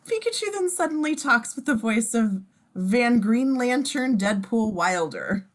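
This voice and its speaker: Higher-pitched woman narrating a script. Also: big pitch swings, unhurried. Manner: informal, with wry asides. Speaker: scene description reader. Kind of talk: scripted narration in casual table read.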